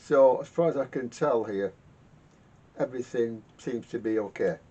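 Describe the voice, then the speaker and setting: A man giving casual hands-on repair commentary in a halting way, muttering to himself and repeating words. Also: mellow, slightly rough. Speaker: vintage radio repairer hosting a workshop video. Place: garage workshop. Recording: muffled lo-fi sound with rumbling noise.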